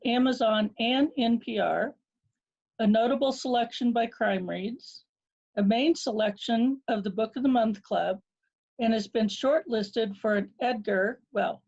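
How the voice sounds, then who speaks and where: A woman's voice: on the high side, lo-fi sound. A woman introducing a guest speaker, reading his credits and apologizing for her pronunciation. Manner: warm, apologetic about pronunciation. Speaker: program director introducing the speaker.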